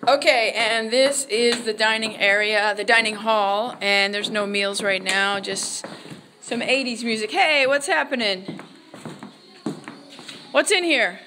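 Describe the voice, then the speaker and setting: High-pitched, chatty American woman. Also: slow pace. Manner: chatty, enthusiastic. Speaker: vlogger, theology student. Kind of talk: casual chat to camera, giving a tour. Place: walking around college grounds, indoors and outdoors. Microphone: phone at arm's length. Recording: faint noise, handheld phone.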